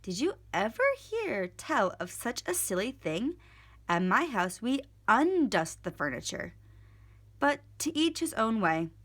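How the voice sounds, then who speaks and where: A woman's voice: on the high side, sing-song, a little slow, fairly bright tone, exaggerated voice. A woman voicing a cheerful, naive, literal-minded housemaid in a children's storybook, with an earnest, folksy, exclamatory way of talking. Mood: earnest, puzzled.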